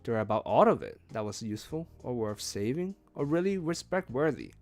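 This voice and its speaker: Guy doing a book read-along, emphatic phrasing, pitch moves around a lot, faint music behind. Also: very animated, a little fast, a little mellow. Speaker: streamer reading a novel aloud. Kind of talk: read-along audiobook narration.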